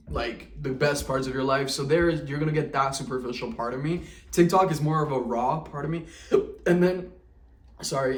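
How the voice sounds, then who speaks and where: Man, twenties, confessional vlog, halting and candid, away from the mic, echoey. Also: on the high side, distant mic. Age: 20s. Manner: candid, halting, with many restarts and fillers. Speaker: vlogger. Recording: roomy, from the mic.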